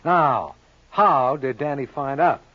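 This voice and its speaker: A man playing a tough, sardonic, wisecracking lawyer-sleuth in an old-time radio crime drama, with a brisk, sarcastic manner. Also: medium pitch, expressive, dull audio. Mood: dry sarcasm. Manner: sarcastic, brisk, thinking out loud.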